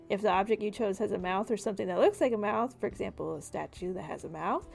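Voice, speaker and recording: A woman's voice: medium pitch, vocal fry, a little mellow. A woman acting as game master, casually narrating a tabletop game and explaining its rules. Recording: music behind the voice. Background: background music.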